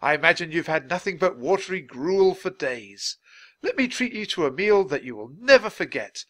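A man voicing a kindly, indignant English gentleman author in a storybook, with grand, emphatic speech and a theatrical manner. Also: on the high side, big pitch swings, moderate pace, voice pushed up. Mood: warm, expansive.

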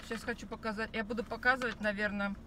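A woman's voice: mid-range voice, fairly even intonation, fast talker, pushed and bright. Chatty woman vlogging from her car. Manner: chatty, rambling with many fillers and restarts. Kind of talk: casual chat. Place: parked car. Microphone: phone camera on the dashboard. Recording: car with noise behind.

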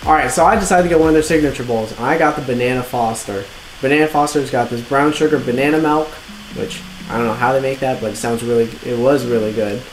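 A young man in his twenties talks to the camera from a living-room couch in a relaxed, rambling way, full of fillers and little "all right?" asides. His voice sits at an ordinary middle pitch for a man. The room has a noticeable echo, with faint music playing underneath. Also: fast. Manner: relaxed, chatty, many fillers and "all right?" check-ins. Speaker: vlogger reviewing restaurants. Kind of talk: casual to-camera review.

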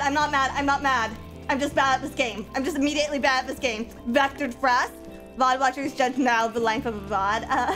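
High-voiced woman streamer with a stuffy cold, casual chat with bursts of yelling, clear game music under her. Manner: swings from mumbling to loud excited yelling.

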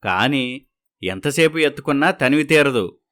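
A man plays an older father-in-law in a moral story. He is sad and resigned, speaking in a medium-pitched, gentle voice. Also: expressive, measured pace. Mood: sorrowful.

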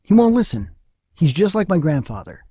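A man's voice: fairly high pitch, very animated, moderate pace, mellow, husky, no echo, studio quality. A man playing a bitter, foul-mouthed, scolding father in an audio drama. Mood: scolding, defensive. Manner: scolding, contemptuous.

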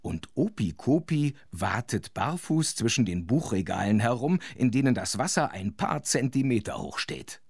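A man playing an involved, expressive storyteller who narrates a children's audio drama. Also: mid-range voice, normal speed, hardly any pauses, husky, no room sound, clean studio sound. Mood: narrating.

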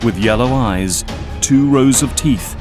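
Man, audio drama narrator, slow, deliberate, mid-pitched, music behind. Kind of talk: scripted narration.

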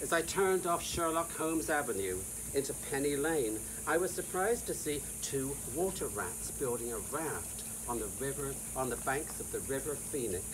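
A man in his sixties or older reads a whimsical storybook aloud in a theatrical, deliberate manner. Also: medium pitch, expressive. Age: 60s or older. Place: outdoors in a sunny garden. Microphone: camera a few feet away. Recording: outdoor hiss with insect noise.

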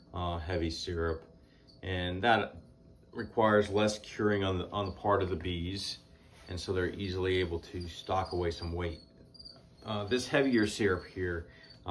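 A man in his forties, a beekeeper giving casual how-to advice in an unhurried, practical way with many "uh" pauses, filmed indoors in a small shed with the camera at desk distance. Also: deep voice, a little hiss or hum. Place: indoors, small shed stacked with hive boxes.

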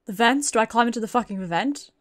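High-pitched British woman streaming a game. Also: expressive, fast talker. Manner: sweary, reacting aloud, thinking out loud. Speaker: livestreamer playing a game. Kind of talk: casual horror game commentary.

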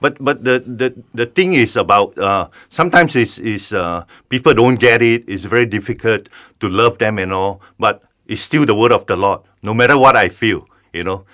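A man who is a pastor, speaking haltingly in a studio interview with many restarts and repeated words. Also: on the low side, a bit bright. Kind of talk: conversational interview.

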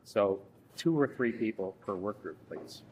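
A man in his thirties leading a workshop from a lectern in a conference room, speaking haltingly with frequent restarts. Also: lowish voice, expressive, background noise. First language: English. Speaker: workshop instructor. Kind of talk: workshop presentation.

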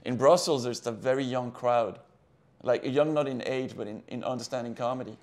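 A man in his thirties from Belgium, a comedian guest in a casual studio interview, speaking with frequent restarts on a lapel mic. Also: medium pitch. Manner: halting, restarts often. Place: indoor studio set with table.

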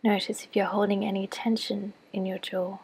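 A woman leads a guided body scan meditation in a soft, breathy near-whisper, giving gentle, measured instructions with long pauses. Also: mid-range voice, level tone, a little hiss or hum, poor audio. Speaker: clinical psychologist leading a guided meditation.

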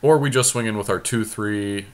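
A man's voice: lowish voice, moderate pace. A man commenting casually on a game as he plays, thinking aloud in short, informal phrases. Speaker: podcast host.

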